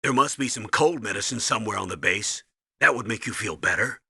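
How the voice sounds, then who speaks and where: A man playing a gruff, urgent military commanding officer briefing his agent in a video game, with a commanding, instructive delivery. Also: fairly low pitch, animated, a little fast, sharp tone, dry recording, studio quality, full character voice. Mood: commanding, steady.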